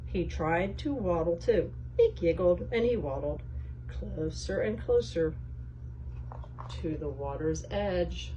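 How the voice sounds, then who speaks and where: A woman's voice: lowish voice, animated, slow, mellow. A woman hosting a read-aloud, reading a children's story and speaking directly to young listeners. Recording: clearly noisy background.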